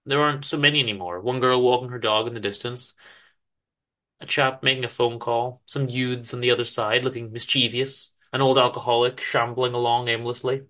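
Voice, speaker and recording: A man giving a theatrical, emphatic dramatic reading of a story, with deliberate pauses. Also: mid-range voice, fairly even intonation, bright, forceful tone. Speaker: host reading his show's story.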